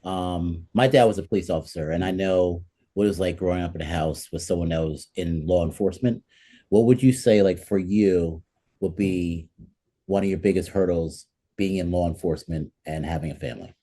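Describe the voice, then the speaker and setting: Low, mellow American man. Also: normal speed. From New Jersey, United States. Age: 40s. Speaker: podcast panelist. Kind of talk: casual roundtable talk.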